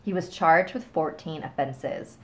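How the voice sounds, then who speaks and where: A woman's voice: low-pitched, measured pace, a bit bright. A woman hosting a casual livestream, chatting with viewers and reading scary stories, a bit stuffy-nosed, with a chatty, giggly manner. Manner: chatty, thanks viewers, laughs, trails off. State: stuffy nose. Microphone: phone on a small stand.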